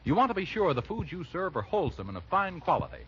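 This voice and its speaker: A man's voice: high voice, big pitch swings, fast. A man announcing a scripted 1940s radio advertisement in an emphatic, salesman-like manner. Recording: dull, noisy 1940s radio recording.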